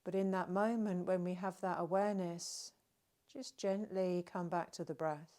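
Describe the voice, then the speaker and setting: A woman leading a guided relaxation, speaking gently and soothingly, with long unhurried pauses between phrases. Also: lowish voice, fairly even intonation. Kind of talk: guided meditation narration.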